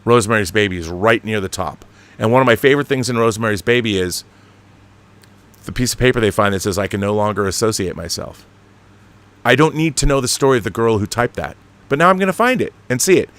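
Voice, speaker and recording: A man's voice: lowish voice, lively intonation, fast talker, fairly bright tone. A man hosting a casual livestream, rambling with emphatic, opinionated fan enthusiasm and the odd casual swear. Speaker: online show host. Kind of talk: casual livestream monologue and chat reading.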